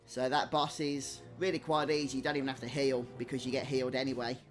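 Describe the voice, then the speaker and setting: A man's voice: mid-range voice, flat delivery, quick pace, soft background music. A man giving casual, chatty live commentary as he streams a video game, thinking aloud as he goes.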